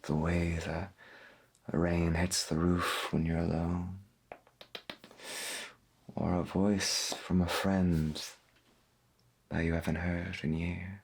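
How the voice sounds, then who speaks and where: A man playing a gentle, wondering veteran 1990s AM radio host in a soft-spoken ASMR roleplay, husky and folksy, musing in a hesitant murmur. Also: low-pitched, level tone, slow pace, long pauses, a little hiss or hum, exaggerated voice. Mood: reflective, soothing. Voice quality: husky, gravelly.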